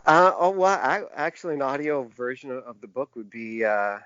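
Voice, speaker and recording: A man's voice: medium pitch, lively intonation, a bit bright, clean tone, dry recording. Man chatting thoughtfully, dry dull recording. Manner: halting, thoughtful, trailing restarts. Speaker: podcast guest, author and publisher. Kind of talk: casual interview chat.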